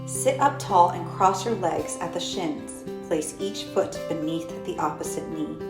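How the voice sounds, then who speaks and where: A woman reading guided yoga instructions for children in measured short phrases with deliberate pauses. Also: on the low side. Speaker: storytime host and yoga instructor reading aloud. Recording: roomy echo with plucked guitar music behind.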